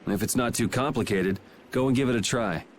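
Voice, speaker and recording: A man's voice: medium pitch, a little fast, slight background noise, close to an everyday voice. A man playing a laid-back young swordsman in a video game, speaking in a dry, matter-of-fact way. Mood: calm, wry.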